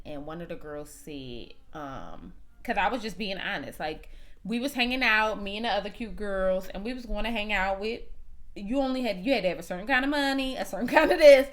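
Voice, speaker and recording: A woman co-hosting a reality show review in casual chat, animated and playful, quick to tease. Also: mid-range voice.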